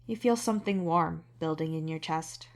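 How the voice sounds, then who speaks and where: A woman's voice: deep voice, normal speed. A woman reading scripted fiction as a narrator.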